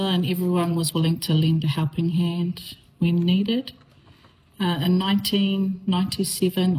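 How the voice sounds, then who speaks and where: A middle-aged woman from New Zealand, a teacher, answering radio interview questions in a measured way with frequent ums and uhs. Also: lowish voice, darkish tone, low-quality recording.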